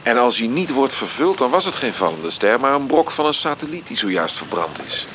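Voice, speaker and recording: A man playing a passionate, rationalising lover in a radio drama, with a theatrical, expressive delivery. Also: medium pitch, fairly quick, noisy background, close to an everyday voice. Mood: romantic, playful. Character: passionate, agitated male lover, romantic and rationalising. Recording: loud background ambience.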